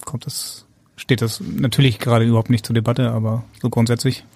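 Gravelly-voiced man podcast host. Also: mid-range voice, little pitch movement, brisk, a little mellow, no echo. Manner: joking, chatty questions. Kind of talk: casual podcast chat.

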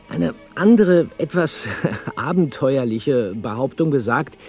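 A man plays a bookish young detective in a radio play. He speaks at a middle pitch with very lively intonation, earnest and a little hesitant, as if laying out research. Faint music sits underneath. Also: close to an everyday voice. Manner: explanatory, with hesitant fillers. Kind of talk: German audio drama acting.

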